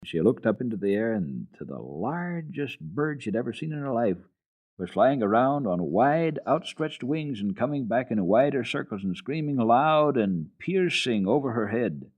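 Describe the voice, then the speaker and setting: A man's voice: medium pitch, very animated, moderate pace, darkish tone. A man giving a dramatic storybook reading of a children's classic as a radio storyteller.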